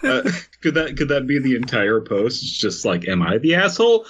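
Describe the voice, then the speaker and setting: A man's voice: mid-range voice, wildly swooping pitch, moderate pace, fairly bright tone, highs cut off, a little hiss or hum. A man co-hosting a podcast, reading a story aloud and breaking off into crude jokes. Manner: crude joking, laughs mid-sentence while reading aloud.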